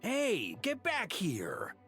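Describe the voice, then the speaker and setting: A man's voice: high-pitched, wildly swooping pitch, very slow, a bit bright, soft background music, exaggerated voice. A man voicing an exasperated, fatherly veteran Grand Master of knights in a video game, commanding but humorous. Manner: gruff-humoured, commanding, sighing.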